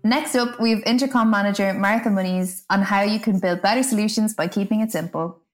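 A woman's voice: medium pitch, fairly quick. A woman hosting a podcast, reading a scripted introduction. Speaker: podcast host. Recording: music behind the voice. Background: music.

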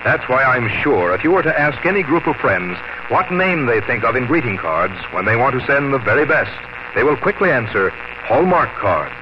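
A man's voice: medium pitch, sing-song, normal speed. A man delivering a scripted 1950s radio sponsor message as announcer, in a polished, earnest way. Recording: muffled, noisy 1950 radio broadcast.

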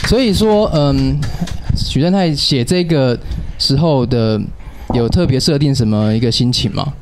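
A man's voice: mid-range voice, unhurried. Guy in his 30s hosting casual radio, rambly and bantering, noisy recording with clicks. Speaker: radio show host. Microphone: radio show microphone. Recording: clear background noise with clicks.

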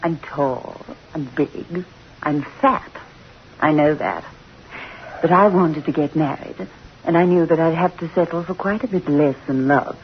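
A woman's voice: deep voice, a little slow. An actress playing a possessive, unloved wife in a radio horror drama, blunt and pointed in her delivery. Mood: resigned, possessive. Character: domineering, possessive, unloved wife, big and bitter. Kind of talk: 1960s radio horror acting. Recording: muffled, noisy old radio recording.

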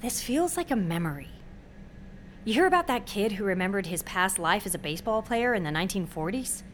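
A woman's voice: mid-range voice, animated, brisk, natural voice. Brief: actress as intense young woman, twenties, wry and guarded, naturalistic audio drama, faint ambient noise. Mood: earnest, guarded.

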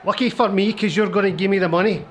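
A man acts a cheeky, menacing street seller in an audio drama. The voice is high, wheedling and pushy, over faint background ambience. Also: fairly even intonation, quick pace, a little hiss or hum, close to an everyday voice. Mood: cheeky menace. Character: cheeky menacing street hustler selling lucky heather.

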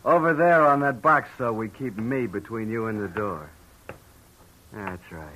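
A man's voice: fairly low pitch, measured pace, bright, forceful tone. An actor playing a poker-faced, menacing gangster henchman who taunts in tough slang, in 1950s radio drama style. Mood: taunting menace. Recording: noisy vintage radio recording.

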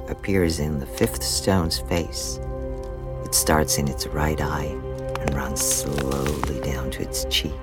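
A man narrating a scripted audio drama deliberately, with dramatic pauses. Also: low-pitched. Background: music.

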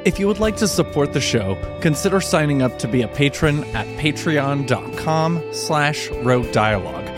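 A man's voice: on the high side, lively intonation, moderate pace. A man reading scripted show credits as an announcer. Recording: music bed under voice.

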